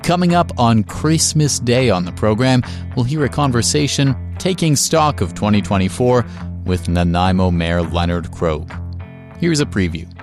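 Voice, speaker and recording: A man's voice: lowish voice, expressive, normal speed, faint music behind. A man presenting a local radio programme in a scripted host style.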